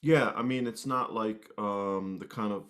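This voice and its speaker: A man chatting casually as an interview guest, with hesitant, halting phrasing. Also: lowish voice, a bit bright. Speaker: guest, musician and martial arts instructor.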